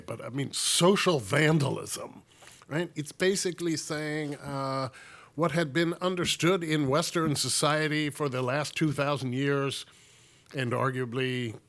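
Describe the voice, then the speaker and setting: Man, hoarse from a cold, gravelly, panel speaker, earnest, repeats words when restarting. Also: mid-range voice, a bit bright. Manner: earnest, stammering restarts, rising emphasis. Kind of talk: panel discussion remarks.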